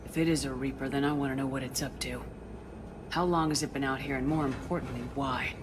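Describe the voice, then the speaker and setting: An actress playing a tough, no-nonsense space military commander in a video game, speaking in a blunt, commanding way. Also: female voice, rumbling low, fairly even intonation, brisk, noisy background. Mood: firm, commanding.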